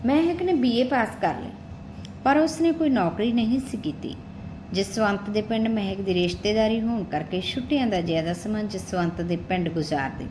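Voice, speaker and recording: ਸ਼ੋਰ ਵਾਲੀ ਰਿਕਾਰਡਿੰਗ ਵਿੱਚ ਪੰਜਾਬੀ ਲਹਿਜ਼ੇ ਵਾਲੀ ਕਹਾਣੀਕਾਰ ਔਰਤ. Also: female voice, mid-range voice, normal speed. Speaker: storyteller. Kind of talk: scripted audiobook-style story narration. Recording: clearly noisy recording with background ambience.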